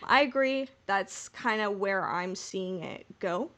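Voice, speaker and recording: A woman's voice: medium pitch, expressive, takes it slowly, sharp tone. A woman podcast co-host chatting casually in a playful, informal way about something she follows as a fan.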